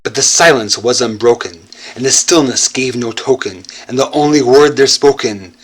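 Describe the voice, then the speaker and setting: A young man giving a theatrical, brooding recitation of gothic horror poetry, moving between hushed and loud delivery. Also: medium pitch, moderate pace, poor audio. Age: young adult. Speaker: performer reciting a poem. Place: furnished basement living room.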